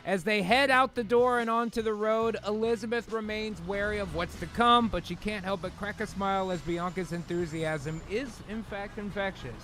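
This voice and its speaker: A man's voice: high-pitched, moderate pace, hardly any pauses, bright, forceful tone, soft background music. A man hosting a live comedy table read, switching between script narration and casual, slangy, jokey banter with some swearing.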